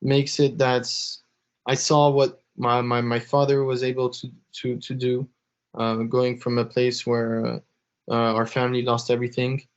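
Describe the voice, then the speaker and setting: A man's voice: mid-range voice, completely flat delivery, measured pace, smooth. Hesitant man on a dull-sounding podcast. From half French, half Lebanese. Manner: hesitant, many fillers and restarts. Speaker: interview guest, blockchain executive. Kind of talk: casual interview answers. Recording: slightly dull podcast audio.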